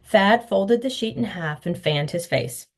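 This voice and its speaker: A woman's voice: medium pitch, measured pace. A woman author reading her children's novel aloud, telling the story expressively. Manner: expressive storytelling, acting out the dialogue.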